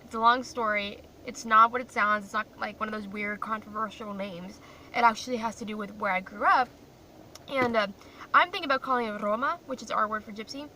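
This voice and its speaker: Bright-voiced young woman chatting in a parked car. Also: medium pitch, brisk. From grew up in Croatia. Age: young adult. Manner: chatty, rambling, self-deprecating. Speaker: vlogger. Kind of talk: casual chat to camera. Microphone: phone camera in a car. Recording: distant mic with faint car noise.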